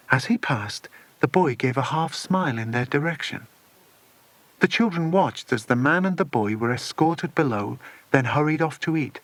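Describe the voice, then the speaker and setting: A male narrator reads a children's adventure story expressively. His voice is mid-pitched with wide, lively intonation, in a very dry, close recording. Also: no echo. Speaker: audiobook narrator.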